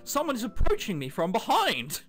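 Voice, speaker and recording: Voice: mid-range voice, huge pitch swings, moderate pace, a bit bright. A let's-player of unclear sex giving casual commentary and reading a visual novel's text aloud, with wry asides. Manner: self-interrupting, wry joking asides. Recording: music behind the voice. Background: game music.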